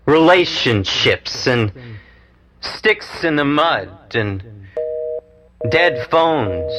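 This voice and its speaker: A man's voice: mid-range voice, a bit bright. A man in his forties, a poet and musician, speaking in a studio. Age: 40s. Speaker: poet, musician and cable show producer. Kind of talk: poetry recital and interview talk. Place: equipment-packed studio.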